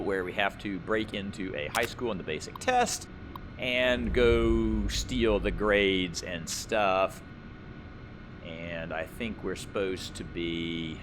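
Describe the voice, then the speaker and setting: A man hosts a let's play in a somewhat low voice. He explains things casually and thinks aloud in a rambling way, over faint background noise. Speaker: gamer, let's play host. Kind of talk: casual gameplay commentary.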